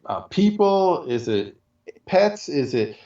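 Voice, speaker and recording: A man's voice: a little high, big pitch swings, slow, warm tone. A man co-hosting a casual chat, talking in a rambling, colloquial way and piling up examples. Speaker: show co-host. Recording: muffled, echoey, distant from mic.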